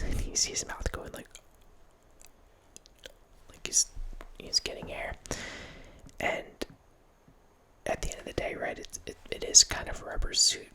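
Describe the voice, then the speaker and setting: A man in his thirties whispering a relaxed ASMR ramble as host, close to a studio mic in a small home room, with frequent restarts. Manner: whispering throughout, relaxed film-nerd musing with frequent restarts. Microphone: studio mic close up, headphones on.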